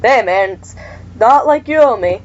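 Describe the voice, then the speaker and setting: A woman reading the lines of a chatty teenage boy who loves horror movies, rambling excitedly. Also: a little high, unhurried, highs cut off.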